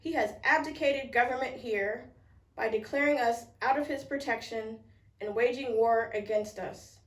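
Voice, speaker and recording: A woman reads a historic document aloud in a formal recitation. Her voice sits slightly high. She is heard a little way from the microphone, with noticeable echo around the words. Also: moderate pace. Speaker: public reader at a commemorative event. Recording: roomy echo, distant microphone.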